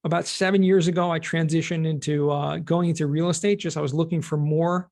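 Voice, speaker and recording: A man's voice: a little high, fairly even intonation, a little fast, darkish tone. A middle-aged man with an American accent, explaining things in plain words as a guest in a conversational interview. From California, United States. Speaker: podcast guest, cost segregation expert and former teacher.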